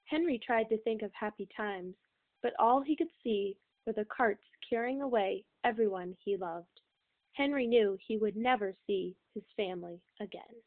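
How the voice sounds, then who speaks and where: A woman's voice: mid-range voice, moderate pace. A woman reading a storybook aloud as a scripted narration, on a tinny recording. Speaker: read-aloud reader.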